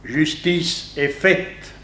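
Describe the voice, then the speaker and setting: A man's voice: a little high, fairly even intonation, extremely slow, bright, forceful tone, exaggerated voice. A French man acting in a 1960s radio drama as a stern official presiding over an execution, declaiming ceremonially. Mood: commanding, grave. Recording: very echoey hall, faint noise.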